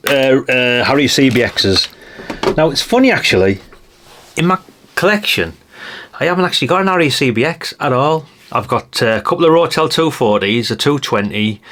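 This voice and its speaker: A middle-aged man with a fairly low voice talks in a loose, unscripted way. He is chatty and mildly sweary, often ending on a tag question. The recording has some noise and clicking behind him. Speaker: host of a retro radio collecting channel. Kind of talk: casual unscripted show-and-tell chat.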